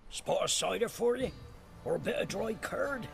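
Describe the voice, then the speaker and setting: An actor playing a rough, friendly male village merchant in a game. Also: high-pitched, lively intonation, normal speed, exaggerated voice. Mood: offering. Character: gruff male village merchant offering wares. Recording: game audio with music behind.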